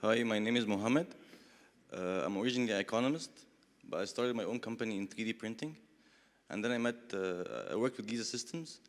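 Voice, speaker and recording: A man's voice: lowish voice, fairly quick. A man speaking informally as a panelist. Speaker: panelist, company founder. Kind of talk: informal live self-introduction. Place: conference hall, before an audience. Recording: echoey hall with long reverb.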